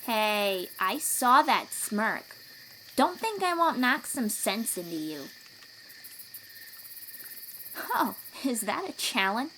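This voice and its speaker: Woman voicing a high-voiced young sea-dweller warrior. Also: sing-song, slow, a little hiss or hum, full character voice, poor audio. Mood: teasing. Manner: encouraging, instructive, gently teasing. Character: young female sea-dweller warrior, protective, teasing, encouraging. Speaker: voice actor in audio roleplay. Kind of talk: audio roleplay acting addressed to the listener.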